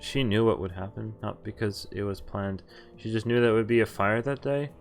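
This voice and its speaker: A man with a fairly low voice streams a visual novel, reading its text aloud. He drops in casual, profanity-laced comments. Music plays softly behind him. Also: steady tone, fairly quick, vocal fry. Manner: swears freely.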